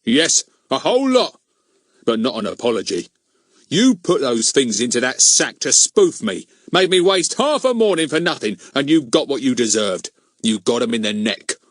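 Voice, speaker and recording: A man's voice: medium pitch, very animated, normal speed, no echo, clean studio sound, pitched above the performer's own voice. A man voicing a smug, rude village policeman in a blustering, emphatic manner for a children's storybook. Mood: scornful triumph. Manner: blustering, emphatic, uneducated grammar.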